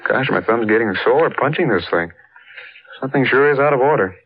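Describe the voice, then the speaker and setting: An actor playing a plain-spoken, earnest young American husband in a 1940s radio drama, with a folksy, boyish manner. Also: male voice, mid-range voice, lively intonation, fairly quick, breathy edge. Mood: impatient. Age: young adult. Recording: muffled, noisy old radio recording.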